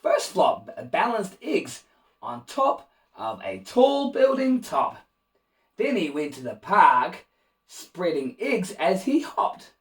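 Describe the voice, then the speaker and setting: A man reading a rhyming children's picture book aloud in an expressive, animated way, with asides to young listeners. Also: high-pitched, slow pace, distant mic. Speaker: children's storybook reader and host.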